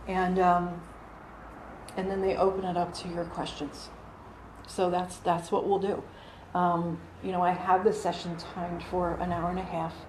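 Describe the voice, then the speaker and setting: A woman's voice: lowish voice, darkish tone. A woman in her forties explaining her channeling to a small group in a living room, chatty, with many fillers and restarts, recorded on a phone camera across the room. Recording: roomy with faint background hum.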